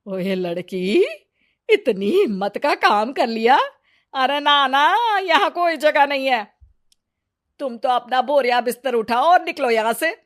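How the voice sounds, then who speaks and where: Stern older cartoon mother, performed by a woman: brash, dismissive, loud and scolding. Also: high-pitched, lively intonation, measured pace, voice pushed up. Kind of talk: Hindi cartoon moral story dialogue.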